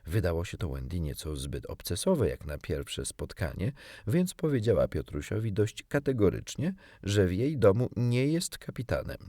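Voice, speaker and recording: A man reading a children's story as an expressive audiobook narrator. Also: deep voice, moderate pace, no room sound, clean studio sound.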